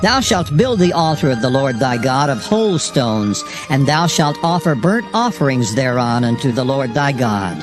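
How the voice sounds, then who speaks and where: A man's voice: medium pitch, animated, normal speed, few pauses, lightly acted. A man playing a stern ancient prophet, solemn and declamatory, in a dramatized Bible reading over music. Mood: solemn, commanding. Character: stern ancient prophet proclaiming sacred law and curses.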